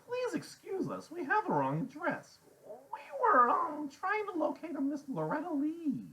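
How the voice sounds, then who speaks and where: A man voicing a small, frightened boy in an audiobook, chattery and high, with formal, stammering politeness. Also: huge pitch swings, unhurried, background noise, heavily acted. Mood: nervous, polite. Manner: formal wording, nervous stammer.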